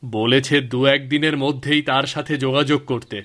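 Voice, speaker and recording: A man's voice: mid-range voice, steady tone, normal speed, fairly bright tone, natural voice. A man voicing a practical, good-natured village husband in scripted cartoon dialogue. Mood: explaining. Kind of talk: scripted cartoon dialogue in a Bengali folk tale.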